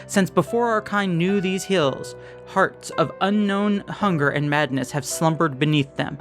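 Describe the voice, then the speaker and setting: A male announcer delivers scripted show credits and promos. His voice sits slightly high, with guitar music playing softly behind him. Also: unhurried. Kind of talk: scripted narration. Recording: music under the voice.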